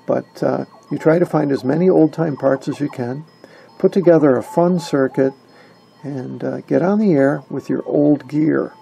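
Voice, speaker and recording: A man giving a casual, halting, conversational explainer as an enthusiastic radio hobbyist. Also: on the high side, creaky, mellow, faint music behind. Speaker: amateur radio hobbyist presenter.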